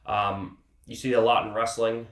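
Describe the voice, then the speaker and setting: A man's voice: mid-range voice, little pitch movement, normal speed, fairly bright tone, roomy sound. A man with a cold teaching grappling techniques in a casual, explanatory way, often checking in with "okay". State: has a cold, sniffling. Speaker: grappling instructor. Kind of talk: instructional teaching.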